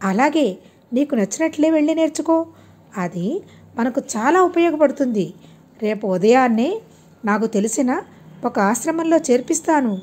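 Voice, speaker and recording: A greedy village doctor and father, read by a woman storyteller, reasons persuasively in a moral audiobook tale. The voice is mellow and a little husky, with lively, instructive swings in pitch. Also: normal speed, long pauses. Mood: approving.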